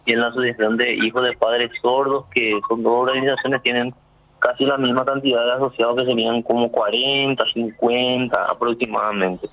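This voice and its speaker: A man's voice: mid-range voice, little pitch movement, normal speed, highs cut off. A man from Paraguay, a sign language interpreter interviewed on the radio. He explains things earnestly, with many fillers and restarts.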